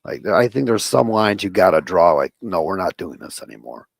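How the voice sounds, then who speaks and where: Male co-host, low gravelly voice, opinionated wrestling chatter, jokey. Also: expressive, dry recording, studio quality. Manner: opinionated, quick jokey quips. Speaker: podcast co-host. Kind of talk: casual wrestling chat.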